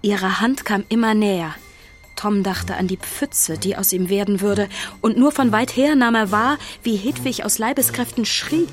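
A woman's voice: medium pitch, moderate pace, over music. A woman giving scripted narration of a children's story.

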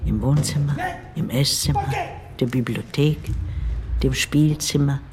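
An older woman playing the bitter, devoted ghost of a family maid, narrating a radio drama in a brooding, deliberate way. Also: very deep voice. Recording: studio radio play over loud music.

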